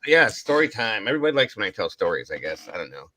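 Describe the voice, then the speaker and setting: Bright, animated man with a deep voice. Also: fast talker, dry recording. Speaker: live stream host. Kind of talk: casual hangout chat.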